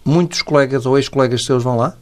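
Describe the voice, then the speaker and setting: A man hosting a radio interview, asking brisk conversational questions. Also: medium pitch.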